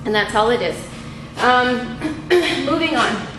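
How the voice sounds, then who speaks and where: A middle-aged woman instructor giving an unscripted, methodical lab demonstration in a halting way, picked up by a camera a few feet away in a small room. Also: a little high, lively intonation. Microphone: camera mic a few feet away. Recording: echoey room with clear background noise.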